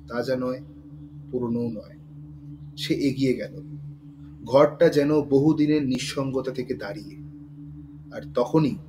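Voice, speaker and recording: A male storyteller narrates a thriller with measured suspense. His pitch sits in the middle, and faint ambient noise runs behind the dramatic reading.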